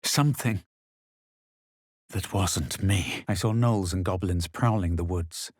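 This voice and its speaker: A low, husky, haughty fantasy hero. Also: male voice, a little mellow, no echo, studio quality, full character voice. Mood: grave, measured. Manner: measured, dramatic, superior. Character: self-assured, haughty male fantasy adventurer hero. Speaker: video game voice actor. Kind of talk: scripted game character lines, first-person narration and spell incantations.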